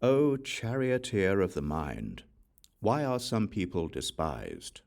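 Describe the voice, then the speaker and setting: A man reading Buddhist scripture as an audiobook, with measured, deliberate phrasing. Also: deep voice, very animated, vocal fry, a little mellow. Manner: measured, deliberate phrasing in short questions and lists. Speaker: audiobook reader.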